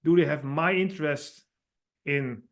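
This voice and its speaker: A man from the Netherlands speaks English with a clear Dutch accent and a slightly high voice. He is a guest on a podcast, laying out his thinking in an earnest, explanatory way. His phrasing is a little non-native. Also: a little slow. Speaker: author and guest. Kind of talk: podcast interview.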